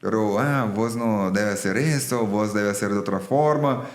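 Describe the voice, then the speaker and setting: Low-voiced Brazilian man in a roomy space. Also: moderate pace. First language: Portuguese. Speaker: business executive, podcast guest. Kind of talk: conversational interview. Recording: roomy echo.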